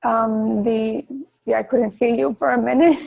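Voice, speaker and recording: A woman's voice: on the high side, fairly even intonation, moderate pace, gravelly, dull audio. A woman interviewing someone in a casual chat over a video call.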